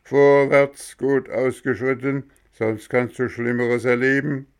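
A man's voice: mid-range voice, a little slow, gravelly. A man voicing stern male gendarmes who bark commands. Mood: stern commanding. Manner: barking, commanding.

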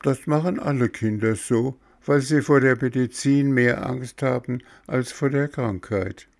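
A man's voice: mid-range voice, measured pace, creaky, darkish tone, voice pushed up. A man voicing a stubborn, easily frightened little puppet boy in a storybook, whining and pleading dramatically. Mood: cheeky. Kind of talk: storybook character voice.

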